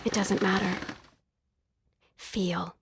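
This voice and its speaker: Young highborn wife for audio drama: candid, tender, unbending, mid-range voice. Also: female voice, little pitch movement, drawn-out words, vocal fry, slight background noise. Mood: intimate, firm.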